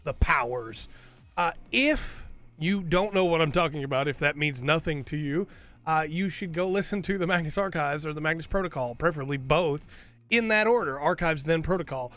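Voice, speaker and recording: A man's voice: a little high, normal speed, fairly bright tone. A man hosting a casual commentary video while he has a cold, rambling and often tagging his points with "right?". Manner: rambling, tags lines with "right?". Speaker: video host.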